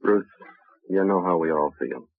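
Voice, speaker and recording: An actor playing a terse, somber police sergeant with a clipped, deadpan manner in a 1950s radio crime drama. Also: male voice, low-pitched, unhurried, vocal fry, slight background noise. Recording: dull, muffled old radio recording.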